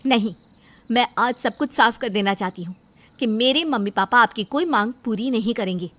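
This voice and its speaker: A woman's voice: fairly high pitch, normal speed, fairly bright tone, slight background noise, lightly acted. A woman playing an outspoken, self-respecting young bride-to-be in a story drama, speaking firmly and indignantly. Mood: resolute. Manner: firm, indignant, emphatic. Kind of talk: dramatised Hindi moral story acting.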